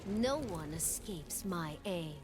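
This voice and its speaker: An actress playing a proud, solemn warrior queen of an icy tribe in a video game, speaking in deliberate, commanding, dramatic lines. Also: lowish voice, expressive, music in the background. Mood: cold, menacing.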